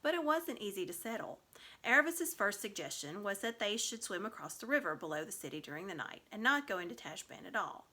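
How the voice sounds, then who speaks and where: Animated woman reading a story aloud. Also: mid-range voice, a little fast. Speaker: storybook reader. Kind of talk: expressive scripted children's story narration.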